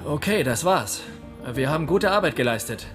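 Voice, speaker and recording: An actor playing a brash young ex-convict mechanic in a radio drama, talking in blunt, offhand slang. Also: male voice, on the high side, animated, normal speed, loud music behind the voice, natural voice. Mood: cocky. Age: young adult.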